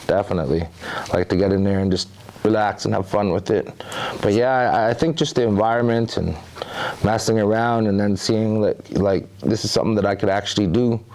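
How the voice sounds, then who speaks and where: A man's voice: lowish voice, fairly even intonation, fairly quick, a little mellow, low-quality recording. A man from the Bahamas, a rapper, talking about his music in a loose, laid-back way. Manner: laid-back, loose, often says "you know". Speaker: rapper guest. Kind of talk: casual interview talk.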